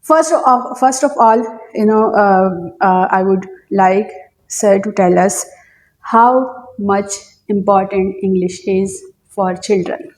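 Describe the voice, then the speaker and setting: Female interview host, mellow tone, halting delivery with lots of fillers. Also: mid-range voice, poor audio. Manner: hesitant, many fillers. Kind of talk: interview hosting.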